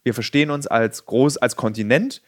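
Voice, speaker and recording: A man's voice: medium pitch, normal speed, dry recording, clean studio sound. A man hosting a casual podcast conversation, speaking in a rambling, reflective way and sometimes acting out other voices. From Berlin. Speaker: podcast host.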